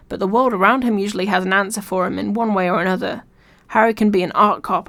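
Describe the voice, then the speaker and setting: A woman's voice: mid-range voice, vocal fry, fairly bright tone. A woman reading scripted video essay narration in a wry, informal way.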